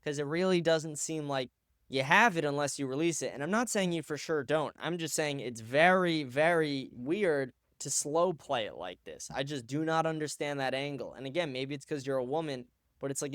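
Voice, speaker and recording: Smooth-voiced man ranting on a stream. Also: a little high, normal speed, fairly bright tone, dry recording, clean studio sound. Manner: blunt, profane, ranting. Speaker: show host. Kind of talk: live-stream commentary.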